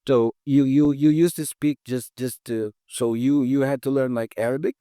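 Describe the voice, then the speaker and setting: A man's voice: mid-range voice, fairly even intonation, moderate pace, warm tone, no room sound, studio quality. A man hosting an interview podcast, talking casually with many fillers and restarts. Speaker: podcast host.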